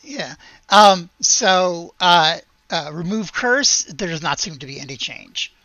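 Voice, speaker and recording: A woman running a tabletop game as game master, narrating casually and haltingly, sometimes muttering to herself. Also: on the low side, expressive, fairly bright tone, no room sound. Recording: dull, slightly lo-fi audio.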